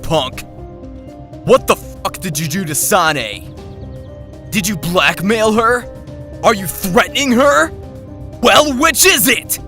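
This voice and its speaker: A man acting as a hot-tempered high school jock bully in an audio drama. He is aggressive and accusing, with a high-pitched male voice over a music bed. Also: pushed and bright, full character voice. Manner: confrontational, accusing, swearing. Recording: music under the voice.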